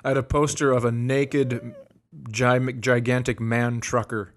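A man's voice: mid-range voice, level tone, measured pace. A middle-aged man hosting a comedy podcast in casual chat, telling stories with an amused, ready-to-laugh manner.

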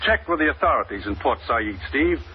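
An actor playing a grave, businesslike American government commissioner in a 1950s radio drama, speaking with clipped authority. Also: male voice, medium pitch, expressive, fairly quick, slightly rough. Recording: muffled, hissy old radio recording.

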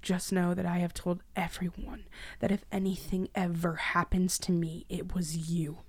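A woman acting an anguished yet defiant victim facing her tormentor in a dramatic reading, hushed and wounded. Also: on the low side, flat delivery, moderate pace, slightly rough, no room sound. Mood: frightened, accusing. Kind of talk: dramatic reading of a dark crime story.

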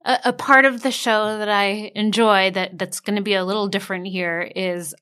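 A woman's voice: mid-range voice, fairly even intonation, normal speed, pushed and bright, clean tone. Chatty, enthusiastic woman podcast host. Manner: chatty and enthusiastic, with stammered restarts and laughs. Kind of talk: casual interview conversation.

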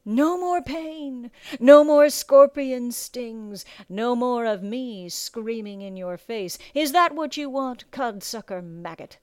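A woman's voice: a little high, takes it slowly, few pauses, darkish tone, smooth, dry recording, pitched above the performer's own voice. Woman acting a barking, scornful male drill instructor. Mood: quiet, taunting menace. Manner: barking, taunting, profane. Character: bellowing small thirty-year-old male drill instructor, scornful and commanding. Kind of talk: audiobook character acting.

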